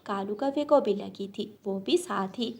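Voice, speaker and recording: A woman's voice: medium pitch, unhurried, vocal fry, a little mellow, breathy edge. A woman narrating a scripted children's cartoon story as its storyteller.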